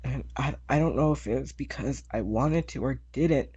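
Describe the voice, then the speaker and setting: A man voicing a hesitant, shaken teenage girl in a tabletop game, stammering and halting. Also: mid-range voice, a little mellow, slightly rough, dry recording, lo-fi sound, close to an everyday voice.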